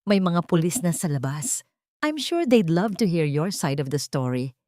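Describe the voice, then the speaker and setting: Betrayed rich father for audio drama, woman performing: icy anger, scornful accusations, fairly low register. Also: big pitch swings, moderate pace, a little mellow, no echo, clean studio sound. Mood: cold. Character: cold furious betrayed man, tycoon and father.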